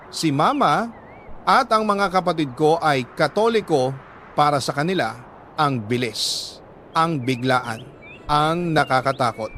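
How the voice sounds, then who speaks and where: A Filipino man with a high voice reads a personal letter as a radio storyteller. His delivery is measured and sentimental, with dramatic, deliberate pauses. There is a faint but steady layer of noise in the recording. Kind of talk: dramatic first-person scripted narration in the letter writer's words.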